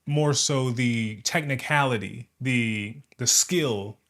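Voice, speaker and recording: A man hosting an interview, asking questions in a relaxed, unhurried way with some filler sounds. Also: mid-range voice. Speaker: interview host.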